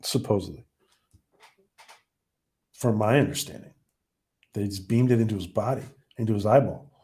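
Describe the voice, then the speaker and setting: A man's voice: on the low side, warm tone. Rambling man with a fading voice. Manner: rambling, halting, many fillers and restarts. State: losing his voice. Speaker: live-stream host reviewing a TV episode. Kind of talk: casual live-stream chat reading viewer comments.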